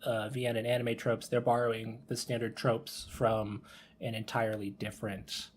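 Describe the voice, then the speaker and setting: A man's voice: mid-range voice, flat delivery, moderate pace, a little hiss or hum. A man in a casual podcast discussion, chatty, often backtracking and repeating his phrases. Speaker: podcast panelist. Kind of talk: casual discussion of visual novels.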